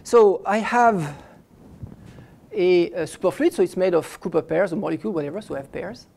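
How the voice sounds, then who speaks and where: Halting middle-aged man lecturing physics. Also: high voice, vocal fry, a little mellow. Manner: halting, stops and restarts phrases often while explaining. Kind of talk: academic lecture. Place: lecture hall, indoors.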